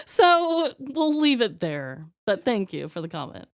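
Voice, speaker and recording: A woman hosting a podcast in casual comedic banter, with an expressive, irreverent way of talking. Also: fairly high pitch, unhurried. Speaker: podcast host. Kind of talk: casual comedic banter, presenting joke awards.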